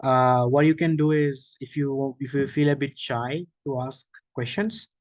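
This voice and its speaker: A man giving an online machine learning workshop, explaining slowly with frequent okays, restarts and questions to the audience. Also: mid-range voice, steady tone, dry recording. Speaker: workshop presenter. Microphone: video call.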